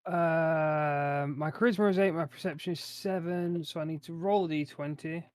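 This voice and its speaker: Guy playing a tabletop rpg, kinda high voice, pushy and blunt. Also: steady tone, moderate pace, darkish tone. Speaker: player in a tabletop roleplaying game. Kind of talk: casual tabletop roleplay and table talk.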